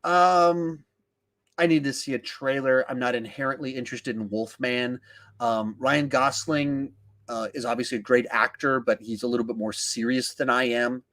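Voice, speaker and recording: A man's voice: mid-range voice, fairly even intonation, normal speed, fairly bright tone. A man hosting a podcast, casually discussing films and reading out viewer questions in an emphatic, conversational way, thinking aloud as he goes. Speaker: podcast host.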